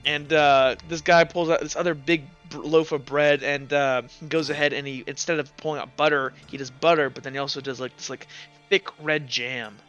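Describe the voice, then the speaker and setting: A man narrates a tabletop game as its game master. He speaks casually and haltingly in a fairly high, bright voice. The mic is a bit dull and music plays faintly. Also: little pitch movement, clean tone. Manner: casual, halting, filler-heavy.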